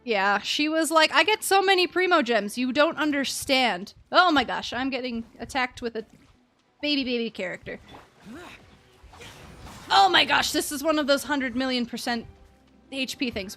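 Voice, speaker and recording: A young woman live-streaming a game, chatting casually with an expressive, playful manner. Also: high-pitched. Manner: expressive, playful, exclaims and chants little words.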